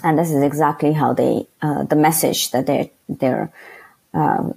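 A young woman from Afghanistan with a low, husky voice talks candidly in a relaxed interview. She is outspoken and unabashed, and she often hesitates with fillers while she looks for the right word. Her tone is conversational. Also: measured pace. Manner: frank and outspoken, searches for words with many fillers. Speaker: interview guest.

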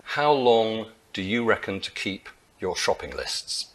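A man's voice: on the low side, big pitch swings, a little slow, heavy background noise. A man in his sixties giving a lecture at a lectern, telling an anecdote with dry, wry humour, picked up by a lectern mic.

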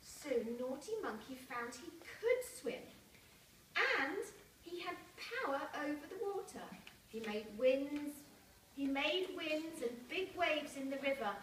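A young woman with a high voice reads a children's story at a slow, deliberate pace, pausing for asides to her small listeners. She is heard from far off in a school hall. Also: lively intonation, fairly bright tone. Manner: simple, deliberate phrasing, checking in with young listeners. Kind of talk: animated picture-book read-aloud with asides to children. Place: large indoor primary school hall. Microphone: camera several metres away. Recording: echoey school hall, distant camera, noisy room.

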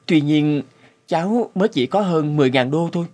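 A man voicing a dutiful, humble young son in an animated moral tale, speaking politely and earnestly. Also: a little high, a little fast, lightly acted. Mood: humble, anxious. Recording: music under the dialogue.

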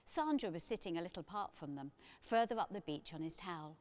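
A middle-aged woman reads a children's story aloud. Her voice sits on the low side and her narration is expressive. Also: fast. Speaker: author reading aloud.

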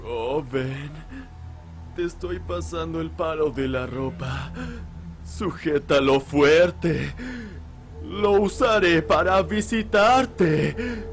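A man plays a monstrous middle-aged woman in a horror anime dub, coaxing and insistent. The words are drawn out extremely slowly, with a Latin American accent. Loud music plays over the voice. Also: a little high. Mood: sinister insistence. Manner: slow, drawn-out, insistent calling.

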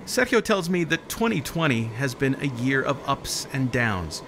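A man's voice: medium pitch, sing-song, moderate pace, very noisy. A man in his forties with an American accent, delivering scripted narration as the host of a regional TV feature. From southern New Mexico, United States.

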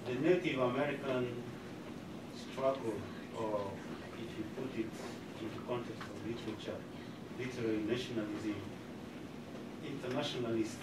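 A man from Uganda in the audience, asking a measured question from the floor. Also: medium pitch, fairly even intonation. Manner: slow, deliberate, measured. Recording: roomy sound from far off, loud hiss.

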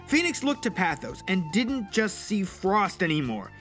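Man narrating dramatically over music, highish voice. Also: sing-song, measured pace, a bit bright. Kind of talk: dramatic scripted narration of an audio story.

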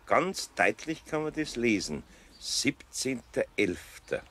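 An actor playing an older master carpenter with a Bavarian accent, good-natured and slyly teasing, in a children's radio play. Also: male voice, medium pitch, very animated, slow, fairly bright tone, a little hiss or hum. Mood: probing.

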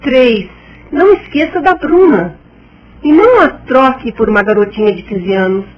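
An actress playing a bitter, sharp-tongued, suspicious woman friend in a radio soap. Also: sits high, expressive, normal speed. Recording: dull lo-fi sound.

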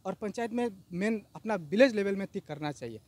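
A man's voice: high-pitched, lively intonation, brisk, darkish tone. Earnest man speaking outdoors by a river. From Arunachal Pradesh. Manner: earnest, repetitive, appealing. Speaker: vox pop interviewee. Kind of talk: street interview. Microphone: handheld interviewer mic.

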